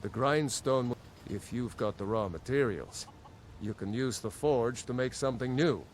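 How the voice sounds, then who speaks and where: A man's voice: mid-range voice, unhurried, slight background noise, lightly acted. A man voicing a friendly, matter-of-fact village blacksmith in a video game, plain and obliging. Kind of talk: video game character dialogue.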